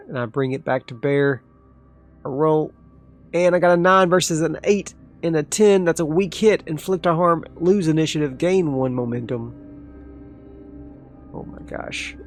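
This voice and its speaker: A man talks his way through a solo tabletop game, reading dice results and rule text aloud. His voice has a gravelly edge and sits at an ordinary pitch. Faint music plays underneath. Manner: talks through dice rolls, excitable asides. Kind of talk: solo actual play, thinking aloud.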